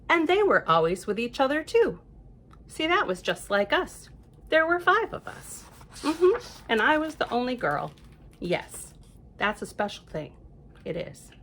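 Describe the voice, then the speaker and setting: Expressive American woman reading at storytime. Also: on the high side. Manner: gentle, reassuring, addressing young children with rhetorical questions and affirmations. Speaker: library storytime reader. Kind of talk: children's storytime, chatty and reading aloud.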